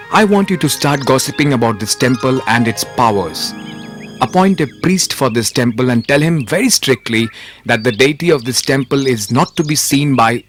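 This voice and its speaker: A man acting a wise, steadfast royal minister in a respectful, earnest manner for an animated moral tale. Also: mid-range voice, close to an everyday voice.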